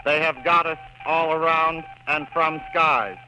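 A man playing an exhausted, despairing army radio operator under siege, reading messages in halting, clipped phrases. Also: a little high, fairly even intonation, close to an everyday voice. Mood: weary dread. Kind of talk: slow dramatic reading of coded radio messages. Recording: noisy old radio with code beeps.

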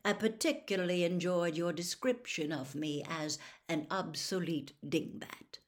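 A woman voicing a kindly, courteous elderly male headmaster who speaks with soft, dry irony. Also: fairly low pitch, unhurried, close to an everyday voice. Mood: wry.

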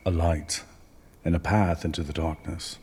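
A man playing a cryptic, taunting doctor who speaks in slow, portentous riddles in a radio play. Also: deep voice, fairly even intonation, a little mellow, breathy edge, exaggerated voice. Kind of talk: radio drama acting.

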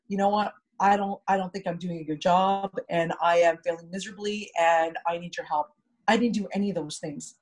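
A woman's voice: medium pitch, a little mellow, breathy edge, poor audio. A woman co-hosting a podcast, candidly and reflectively telling a personal story, often repeating words for emphasis. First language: English. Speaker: podcast co-host.

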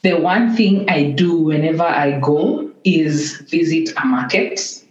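A woman's voice: sits low, slow, darkish tone. A woman with a Kenyan accent answering conversationally as a guest in a podcast interview. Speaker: podcast guest, tech activist and executive director. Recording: roomy, dull lo-fi call-like sound.

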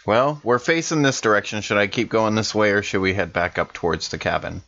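A man's voice: lowish voice, brisk, creaky, pushed and bright. A man playing a tabletop game, improvising casually in character, on a slightly dull recording.

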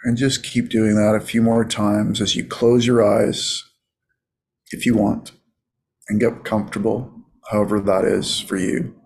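Slow, husky man guiding a meditation. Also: on the low side, little pitch movement, darkish tone. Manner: soothing, casual, unhurried with many pauses. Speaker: meditation coach. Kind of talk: guided meditation.

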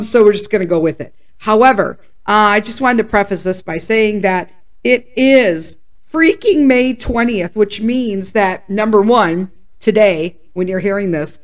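This is a woman in her fifties from Chicago, hosting a relaxed solo podcast. Her voice sits in a middle register and sounds slightly hoarse and congested. She talks in a candid, chatty way that breaks into excited whoops. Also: mid-range voice. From Chicago, USA. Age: 50s. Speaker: podcast host. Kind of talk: casual solo podcast chat.

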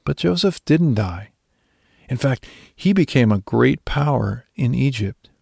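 Male devotional speaker, gravelly mid-pitched voice, scripted reflection, dry recording. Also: expressive, a little slow, warm tone, no echo.

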